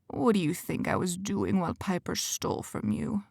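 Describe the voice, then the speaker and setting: A woman voicing a smug, cold male demon leader, speaking slowly and quietly with a menacing edge. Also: very animated, creaky, dry recording, studio quality, full character voice. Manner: slow, low, quiet taunting.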